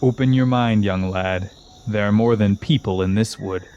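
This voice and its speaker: A man playing a scheming exiled old king in a fantasy audio drama, speaking in a slow, grandiose, coaxing manner. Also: lowish voice, a little mellow, dull audio, a little hiss or hum, lightly acted. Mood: seductive, coaxing.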